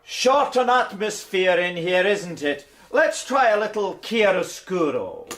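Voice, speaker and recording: A man's voice: sits high, very animated, unhurried, fairly bright tone, slight background noise, full character voice. A British actor playing a gloating, theatrical murderer in a radio drama, taunting and smug as he toys with his pursuer.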